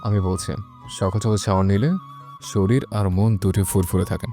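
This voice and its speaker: A man acts out a young husband in an audio romance, bossy and teasing. His low, dark voice gives curt commands, with music behind it. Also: steady tone, moderate pace.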